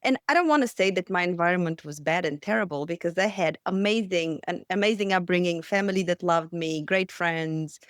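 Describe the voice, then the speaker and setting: Bulgarian-accented woman with a smooth voice. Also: medium pitch, no room sound, clean studio sound. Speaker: podcast guest. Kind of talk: storytelling interview.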